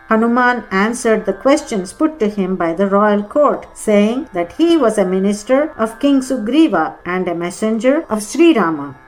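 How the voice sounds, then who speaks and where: A woman giving scripted, measured narration of a devotional epic audiobook. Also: mid-range voice, low-quality recording. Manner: measured storytelling. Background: Carnatic music with sitar.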